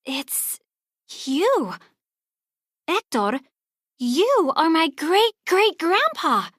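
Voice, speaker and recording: A woman voicing an earnest, excitable young boy in a children's storybook, with eager, emphatic delivery. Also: very high-pitched, extremely slow, no echo, studio quality, pitched above the performer's own voice, exaggerated voice. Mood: amazed. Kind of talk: children's storybook character reading.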